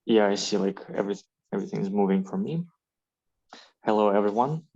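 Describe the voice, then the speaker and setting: Club president from ukraine opening a video call, kinda low voice, lots of uhs, muffled lo-fi audio. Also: male voice, fairly quick, creaky, a little mellow. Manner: hesitant, friendly, many fillers. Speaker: club president hosting the meeting. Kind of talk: online meeting host chat.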